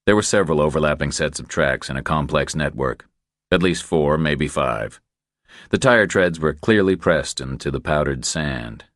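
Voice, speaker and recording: A man with an exceptionally low, deep voice narrates a thriller audiobook. His reading is measured and deliberate, and the recording is dry and close. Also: animated, a bit bright, no echo. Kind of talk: scripted narration of crime thriller.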